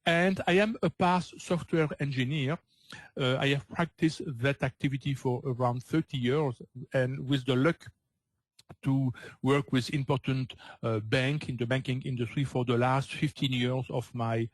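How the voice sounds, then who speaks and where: A man's voice: mid-range voice, steady tone, unhurried, no room sound, rough recording. Older Belgian man with a French accent. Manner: hesitant, frequent uh fillers, non-native phrasing. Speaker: trading coach presenting a webinar. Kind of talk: webinar presentation.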